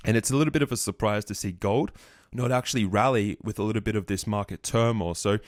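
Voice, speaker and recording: Fast-talking man recapping stock charts. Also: on the low side, no room sound, clean studio sound. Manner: fast, confident chart walkthrough. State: croaky voice. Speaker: trading educator. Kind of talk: market recap over screen-shared charts.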